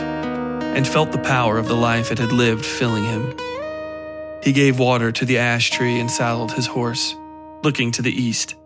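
A man reading scripted dark fiction as a narrator, in a solemn, literary storytelling manner. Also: medium pitch. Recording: acoustic guitar music behind. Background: plucked guitar music.

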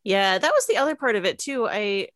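A woman's voice: mid-range voice, animated, brisk, a bit bright, dry recording, studio quality. A woman in her thirties, a filmmaker being interviewed, chatting informally and giggly. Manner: informal, giggly, punctuates talk with small laughs. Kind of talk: casual chat.

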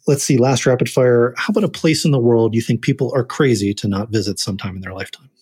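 Husky-voiced male podcast host. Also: mid-range voice, fast, a little mellow, no room sound, clean studio sound. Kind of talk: podcast interview and sign-off.

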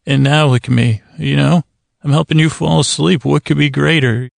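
A man hosting a bedtime podcast in a casual, meandering monologue, halting, stammering, repeating himself and gently self-deprecating. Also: on the high side, steady tone, gravelly, no room sound, clean studio sound. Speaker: podcast host.

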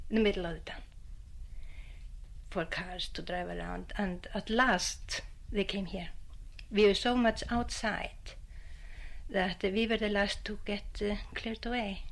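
Woman talking in english, earnest and plain, bit halting. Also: medium pitch, rough recording. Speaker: interviewee, local resident. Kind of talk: interview recollection.

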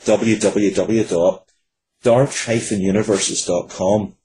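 A man in his forties speaks as an interview guest in a low, soft-edged voice. He is earnest and often restarts his phrases. There is faint noise behind him. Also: poor audio. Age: 40s. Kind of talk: conversational interview answers.

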